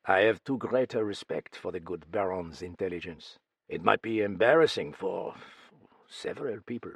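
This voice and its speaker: Wise, tired older investigator, measured and formal, low and gravelly, read by a man. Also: expressive, no echo, heavily acted. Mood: calm.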